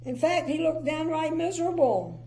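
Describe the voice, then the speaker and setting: An older woman reads a picture book to children in a mellow, slightly high voice with a creaky edge. She moves between expressive storytelling and casual, opinionated asides, with faint noise in the recording. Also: normal speed. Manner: chatty, gently opinionated commentary. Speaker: grandmother reading bedtime stories.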